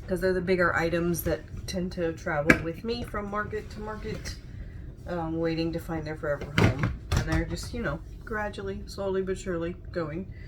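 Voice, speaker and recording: A woman's voice: lowish voice, very noisy. A woman in her thirties chatting casually to camera in a small room, recovering from a cold so her voice is a little off, rambling with frequent ums. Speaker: crafter showing market stock.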